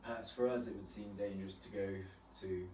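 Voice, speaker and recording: An easygoing, fairly low-voiced man who grew up in Spain, chatting thoughtfully and laughing often. He is off the mic in a roomy space, with faint hiss. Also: steady tone, fairly quick, darkish tone, distant mic. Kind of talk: casual chat. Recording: roomy, held away from the mic.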